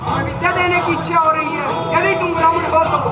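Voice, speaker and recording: A man's voice: extremely high pitch, level tone, fast talker. A male actor playing a stern, wrathful warrior-sage teacher in a mythological stage play, declaiming imperiously. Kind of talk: stage drama in formal, declamatory Hindi. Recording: distant echoey stage, loud music, crowd.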